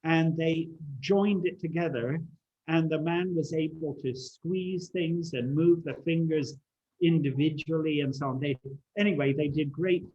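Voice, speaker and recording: A man in his seventies who grew up in China, recalling his life in relaxed talk with many fillers and restarts over a video call. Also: fairly high pitch, measured pace, lo-fi sound. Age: 70s. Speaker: guest speaker. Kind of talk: relaxed conversational recollection.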